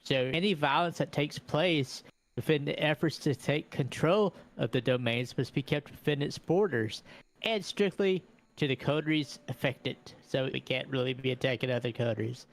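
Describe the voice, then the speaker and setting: A man playing a tabletop game, reading rules out loud with casual asides. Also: medium pitch, moderate pace.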